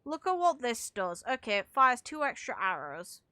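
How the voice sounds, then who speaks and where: Bright-voiced woman giving casual game commentary. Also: a little high, sing-song, normal speed. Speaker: video game streamer.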